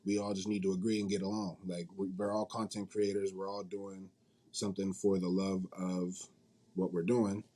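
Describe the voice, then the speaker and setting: A man with a fairly low, warm and mellow voice chats as a guest in a casual interview. His speech is informal and conversational, with everyday slang. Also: little pitch movement, normal speed. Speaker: manga company CEO, interview guest.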